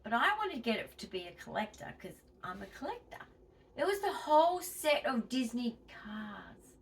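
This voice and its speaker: A woman's voice: on the high side, sing-song, moderate pace, gravelly, far from the mic. An Australian woman chatting casually to her viewers in a home vlog, emphatic and chatty, and a bit wheezy. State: wheezy, tight-chested. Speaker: vlogger.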